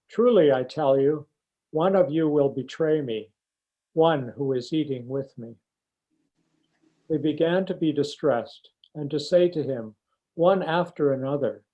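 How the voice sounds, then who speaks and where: A man with a fairly high, very mellow voice reads scripture as a lay church reader. The recording sounds a little dull and lo-fi. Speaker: church member reading scripture.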